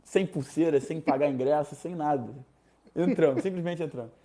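A young man from Rio de Janeiro with a Carioca accent, casually telling a personal story, animated and slangy, acting out other people's lines. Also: fairly high pitch, moderate pace. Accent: Carioca Brazilian Portuguese. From Rio de Janeiro, Brazil.

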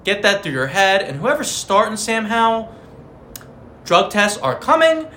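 Bright, trash-talking male co-host. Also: sits high, big pitch swings, measured pace, slight background noise. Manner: trash-talking, jokey, slangy. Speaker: podcast co-host. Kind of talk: casual fantasy football chat.

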